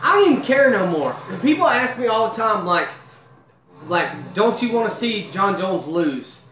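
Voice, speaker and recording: A man in his thirties hosting a casual live-stream reaction, rambling and chatty, saying "dude" a lot, talking into a boom mic at home. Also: very animated, moderate pace, a bit bright, roomy sound, soft background music, poor audio. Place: small room at home. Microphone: boom mic in front of him.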